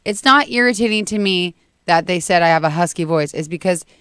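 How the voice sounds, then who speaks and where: A woman with a husky voice co-hosting a morning radio show, chatting animatedly and laughing often. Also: fairly low pitch, a little fast, a bit bright, no room sound, studio quality. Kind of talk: casual morning radio banter. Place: radio studio.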